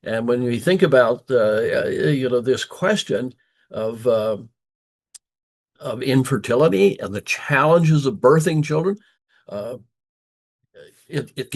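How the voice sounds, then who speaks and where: A man's voice: medium pitch, animated, a little slow. An older man who is a grandparent, hosting a monthly conversation series and interviewing a guest with frequent hesitations and fillers. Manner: warm, hesitant with many fillers. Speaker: host.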